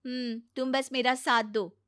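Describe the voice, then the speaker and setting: A sarcastic young daughter-in-law, put on by a woman narrator in a comic cartoon. The voice is high, bright and very dry. She nags and pleads in exasperation, with teasing comebacks. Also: steady tone, measured pace, clean tone, dry recording. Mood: complaining. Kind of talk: comic Hindi cartoon story acting.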